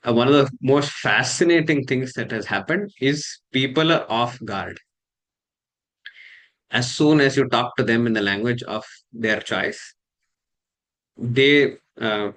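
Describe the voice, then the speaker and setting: A man from Karnataka with an Indian English accent, a coach talking casually to camera, measured and halting with many fillers. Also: mid-range voice, fairly bright tone.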